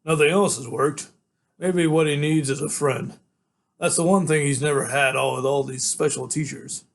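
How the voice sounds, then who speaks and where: A man voicing a worried adult caretaker who speaks quietly and grimly. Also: a little high, steady tone, normal speed, creaky, slight background noise. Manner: quiet, grim.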